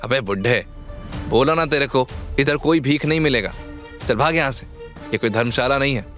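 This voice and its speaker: A man's voice: mid-range voice, moderate pace, fairly bright tone, soft background music, close to an everyday voice. A man playing a rude, hostile security guard in an audio drama, barking in coarse street slang. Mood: barking contempt. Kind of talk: Hindi audio drama acting.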